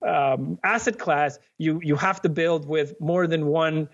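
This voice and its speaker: A man from Venezuela speaking as a podcast guest, his voice sitting a little high. He explains things earnestly, restarting sentences and leaning on fillers as he goes. The audio sounds muffled and lo-fi. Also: unhurried. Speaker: guest, company cofounder. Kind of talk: interview answers.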